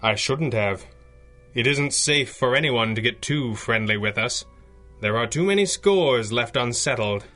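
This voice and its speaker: Resolute vigilante hero in radio drama: medium voice, formal, grave, faint music beneath. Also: male voice, mid-range voice, expressive, unhurried, sharp tone. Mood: wry, grave.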